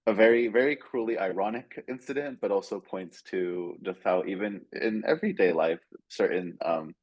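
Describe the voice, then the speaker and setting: Man, very low voice, panel moderator, friendly and organized, hesitant fillers, dull lo-fi call audio. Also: normal speed, no room sound.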